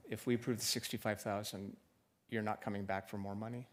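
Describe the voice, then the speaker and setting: Man, commission chair, firm questions, slightly low voice, public meeting. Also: level tone, a little fast. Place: council chamber.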